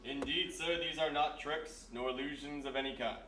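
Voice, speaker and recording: A man's voice: on the high side, fairly even intonation, moderate pace, lightly acted. A man playing a grandiose, florid stage magician of the occult in solemn old-time radio mystery style. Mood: lofty, solemn. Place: live performance in a roomy historic house. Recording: echoey live stage, distant mic, hiss.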